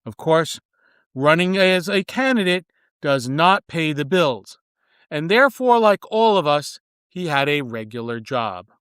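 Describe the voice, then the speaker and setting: A man hosts an interview podcast with a smooth, resonant voice that sits a bit high. He delivers in a measured, formal manner. The sound is close and dry, with no room around it. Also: lively intonation, fairly bright tone, dry recording. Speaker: podcast host. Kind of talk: scripted intro then interview.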